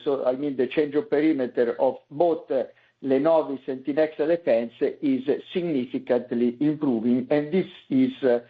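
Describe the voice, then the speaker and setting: A man presenting financial results as a company executive, very hesitant, with frequent filler sounds and repeated words. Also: medium pitch. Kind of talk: semi-scripted earnings call presentation. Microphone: conference call line. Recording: narrow, tinny conference phone line.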